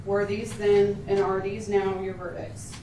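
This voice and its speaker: A woman working as a court clerk, reading formal verdicts and polling questions aloud in a measured, official way. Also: mid-range voice, fairly even intonation. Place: indoor courtroom. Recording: roomy, distant courtroom pickup with clear noise.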